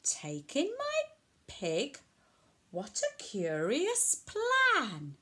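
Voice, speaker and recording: A little old lady from a storybook, played by a woman reading aloud, flustered and pleading. Her voice is high and swoops up and down as she draws out her rhymes in dismay. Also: huge pitch swings, sharp tone, exaggerated voice. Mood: puzzled, incredulous. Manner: exclaiming, drawn-out rhythmic rhyme.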